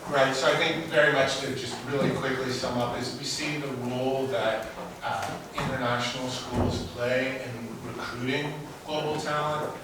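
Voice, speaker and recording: A man's voice: medium pitch, fairly even intonation, very noisy. A man hosting a policy roundtable, summing up and introducing the next panel with frequent restarts. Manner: halting, restarts often. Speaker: event host. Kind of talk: event hosting remarks. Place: large event hall. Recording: echoey hall mic, far off.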